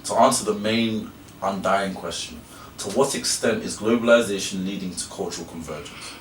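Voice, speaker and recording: A young man from England gives a school presentation in a very low voice, hesitating and restarting his phrases as he goes. He sits well back from the microphone in a reverberant room, with clear noise underneath. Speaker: student presenter. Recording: echoey room, from a distance, noisy.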